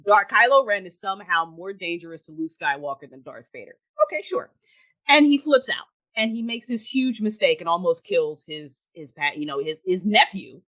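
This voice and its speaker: A woman chats casually and with strong opinions about film. Her pitch moves around a lot, giving her an animated sound. She is emphatic and blunt, and swears freely. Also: moderate pace, few pauses. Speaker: guest, audio drama creator.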